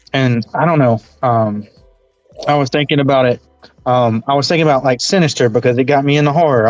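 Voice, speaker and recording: A man's voice: mid-range voice, a little mellow, no echo. Rambling young man on a dull dry mic. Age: 20s. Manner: rambling, with frequent "um" pauses. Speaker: podcast co-host. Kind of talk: casual chat. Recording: dull dry lo-fi recording with faint music.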